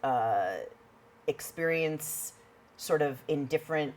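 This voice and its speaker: A woman from a film collective, answering interview questions in a measured way with filler words in a TV studio. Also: deep voice, expressive, a little mellow.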